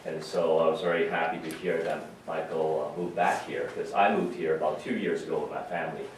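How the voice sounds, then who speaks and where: A low-voiced man gives an informal funeral message as a pastor. He speaks haltingly and reflectively. The sound is very echoey and distant, with faint noise behind him. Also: steady tone. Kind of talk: conversational memorial sermon. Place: large reverberant room at a memorial service.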